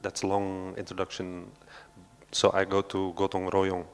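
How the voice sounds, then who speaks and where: A man's voice: low voice, monotone, measured pace, clear, resonant tone. A man from Belgium speaking on a panel at an art centre, unscripted and hesitant, with many fillers and restarts. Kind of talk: unscripted talk at a panel discussion. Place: large hall of an art centre. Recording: long hall reverb.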